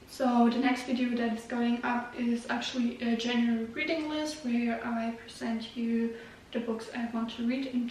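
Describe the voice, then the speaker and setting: A very reverberant, distant recording of a young woman with a fairly high voice, a native Russian speaker raised in Germany. She chats informally to her viewers in a self-deprecating, vlog-style way, with a little noise in the room. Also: a little mellow. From Germany, moved there when very young. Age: young adult. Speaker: YouTube vlogger. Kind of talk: casual chat to viewers. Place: echoey indoor room. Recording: very echoey room, distant mic, faint noise.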